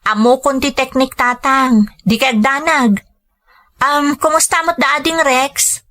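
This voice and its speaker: An actress playing a dutiful, reassuring adult daughter working abroad in a radio drama, with an Ilocano accent. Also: female voice, sits high, steady tone, unhurried, sharp tone.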